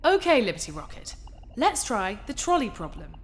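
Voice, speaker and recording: A woman playing a patient but easily exasperated tutor in a sci-fi audio drama, deliberate and expressive. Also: on the high side, soft background music. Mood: patient, explaining. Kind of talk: science fiction audio drama acting. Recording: reverberant hall, background noise.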